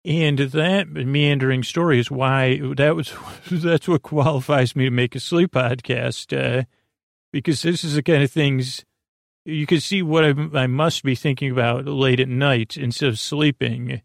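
A man with a creaky voice hosting a sleep podcast, telling meandering stories in a halting, digressive, self-deprecating way. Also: medium pitch, steady tone, no echo, studio quality. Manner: halting, digressive, full of false starts and trailing tangents; self-deprecating, gently absurd musing. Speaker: podcast host.

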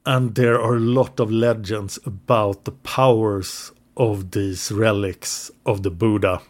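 A man's voice: lowish voice, fairly even intonation, takes it slowly, darkish tone. Man retelling history from notes. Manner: narrates from notes, hesitant with English words. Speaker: podcast co-host. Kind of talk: casual history podcast storytelling.

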